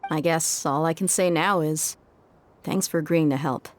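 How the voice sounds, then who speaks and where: A woman's voice: sits low, moderate pace, slight background noise, lightly acted. A woman playing a tough, wry young female mercenary in a video game, casual, dry and straightforward. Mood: sincere.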